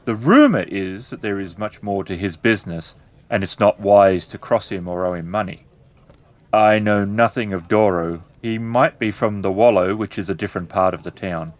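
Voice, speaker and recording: Man playing a polite, low-voiced innkeeper. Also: lightly acted. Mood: guarded, helpful. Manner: deferential, measured. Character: polite, cautious male innkeeper in samurai-era town.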